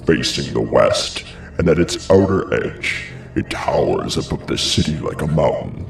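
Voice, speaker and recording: Very deep, gravelly tragic messenger played by a man. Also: reverberant, recorded from a distance, heavily acted, poor audio. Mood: grave foreboding. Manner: grave, declamatory, dramatic. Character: horrified royal messenger recounting a ghastly sacrificial murder. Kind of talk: classical tragedy monologue. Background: music.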